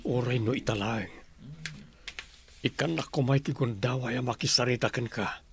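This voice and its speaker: A man's voice: mid-range voice, fairly even intonation, moderate pace, gravelly, lightly acted. An actor playing an insistent, pleading man in a radio drama, with an Ilocano accent. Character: insistent married man pleading with a former flame.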